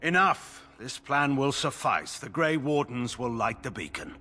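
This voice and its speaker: An actor playing a grim, distrustful older general in a video game, speaking in a stern, scornful manner. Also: male voice, mid-range voice, animated, measured pace, pushed and bright, soft background music.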